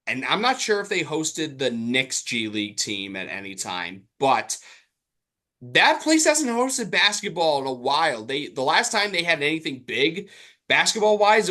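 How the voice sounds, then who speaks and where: A man's voice: on the high side, bright, forceful tone. A man co-hosting a sports podcast, chatting casually about basketball and thinking aloud in a hesitant way.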